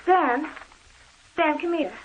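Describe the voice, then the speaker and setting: A woman's voice: high voice, takes it slowly, highs cut off. An actress playing a curious, easily frightened woman with melodramatic urgency, in an old radio drama on a noisy recording. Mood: curious, uneasy. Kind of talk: 1930s-40s radio drama acting.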